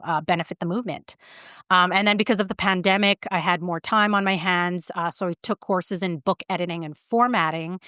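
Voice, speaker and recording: A woman's voice: fairly low pitch, a bit bright, clear, resonant tone, no echo, studio quality. A woman in her thirties telling her story in a casual interview, with many fillers and restarts. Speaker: guest, vegan writer and publicist.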